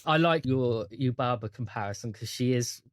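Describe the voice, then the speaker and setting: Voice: mid-range voice, moderate pace, no room sound. A game master narrating a tabletop role-playing game and chatting with the players.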